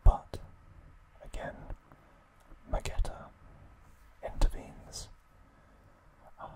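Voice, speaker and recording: A man whispers an ASMR narration very slowly. His delivery is hushed and unhurried, taking its time through each phrase as a host explaining things for relaxation. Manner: slow, hushed, unhurried trivia recap delivery.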